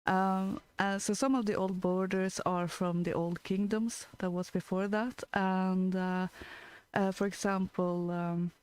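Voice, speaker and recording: A woman from Norway with a Norwegian accent, chatting casually and telling anecdotes in a halting, hesitant way as a co-host. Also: medium pitch, level tone, a little mellow, clear, resonant tone.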